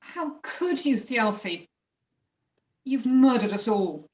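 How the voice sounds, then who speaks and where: Woman playing a high-voiced, grovelling peasant father. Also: animated, slow, darkish tone, roomy sound. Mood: aghast. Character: pleading, grovelling peasant father, timid and pious. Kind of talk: amateur dramatic reading of a myth play.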